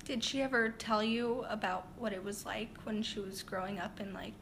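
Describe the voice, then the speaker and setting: A woman's voice: medium pitch, fairly even intonation, normal speed, vocal fry, fairly bright tone, a little hiss or hum. A young woman interviewing a relative, asking questions in a casual way with frequent "like". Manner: casual, uses "like" often. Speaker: interviewer, family member.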